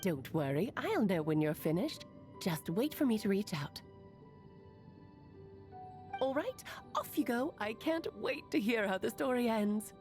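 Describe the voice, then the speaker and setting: A woman's voice: mid-range voice, very animated, measured pace, pauses often, darkish tone, faint music behind. A woman voicing a playful, theatrical witch aunt who teases fondly and laughs between her lines.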